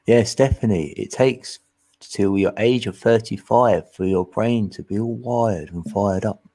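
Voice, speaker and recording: Man, late forties, easygoing chatter; slow, husky and low-pitched. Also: dark tone. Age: 40s. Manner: laid-back, chuckling, easygoing. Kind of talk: casual live chat.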